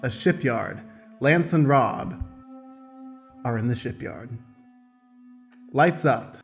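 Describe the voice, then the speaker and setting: Male stage manager: unhurried, mid-pitched, terse scene-setting over music. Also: lively intonation, creaky. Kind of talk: terse stage-direction narration.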